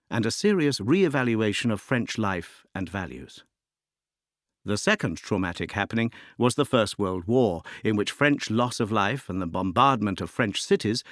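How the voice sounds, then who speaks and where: Expressive, lower-pitched male narrator. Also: no echo, clean studio sound. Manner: articulate, expository, rhetorical phrasing. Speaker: narrator of a music introduction. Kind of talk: scripted educational narration.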